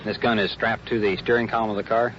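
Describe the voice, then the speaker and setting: A man's voice: fairly low pitch, little pitch movement, fast talker, fairly bright tone. A male police sergeant talking on duty out on the streets, authoritative and matter-of-fact, in an old field radio recording. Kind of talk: unscripted on-duty police talk. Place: outdoors on city streets. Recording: muffled noisy 1950s field radio recording.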